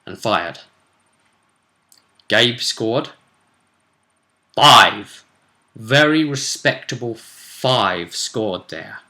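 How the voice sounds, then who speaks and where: Bright-voiced British man, chatty game master. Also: mid-range voice, expressive, very slow, long pauses. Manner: chatty, digressive, with playful asides and emphatic bursts. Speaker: game master recapping a tabletop role-play session. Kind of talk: casual animated recap to camera.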